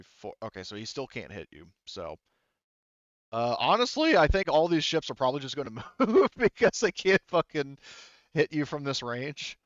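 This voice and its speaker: A man running a tabletop game casually as game master, thinking aloud haltingly as he works through rules and rolls. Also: on the low side, clear, resonant tone, dry recording, lo-fi sound. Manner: halting, thinking aloud while rolling dice.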